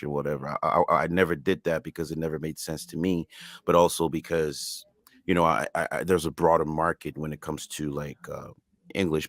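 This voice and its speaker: A man's voice: low-pitched, fairly bright tone, no echo. A man whose first language is French, speaking English as a music artist guest in a casual interview, earnest and emphatic.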